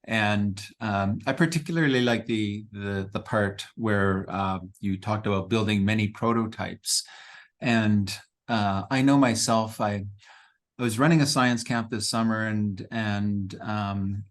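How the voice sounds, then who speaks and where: A man with a lowish voice hosting a video-call Q&A. He speaks slowly, stopping often with ums and uhs as he gathers his thoughts. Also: fairly even intonation. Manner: hesitant, many ums and pauses, slow. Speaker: moderator.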